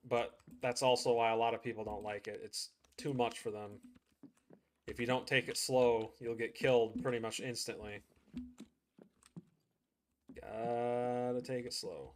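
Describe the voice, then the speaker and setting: A man's voice: medium pitch, level tone, unhurried, long pauses, a little hiss or hum. Casual man commentating his gameplay. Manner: casual, chatty, thinks aloud while playing. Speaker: streamer.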